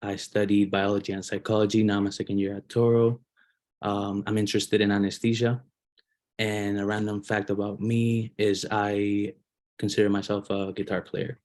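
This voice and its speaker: Low, flat-voiced man from Spain. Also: moderate pace. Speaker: medical student mentor.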